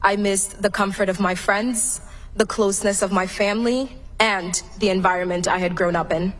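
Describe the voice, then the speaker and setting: A young woman from Cameroon delivers her graduation speech as class president, reading it in an earnest, measured way. Her voice sits at a medium pitch, and her delivery stays formal and steady. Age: young adult. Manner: earnest, measured, read from a prepared text. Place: large graduation venue.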